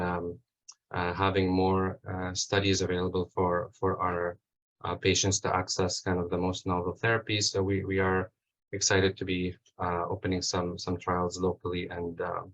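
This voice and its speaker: Very deep, flat-voiced male doctor. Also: moderate pace. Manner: hesitant, many fillers. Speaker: hematologist. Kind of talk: self-introduction on a panel. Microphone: video call. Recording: dull video call audio.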